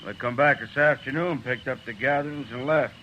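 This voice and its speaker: A man's voice: mid-range voice, a little fast, lightly acted. A man with an American accent playing a surly, mocking trail boss in a 1950s radio western. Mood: surly, guarded. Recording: dull, noisy vintage radio recording.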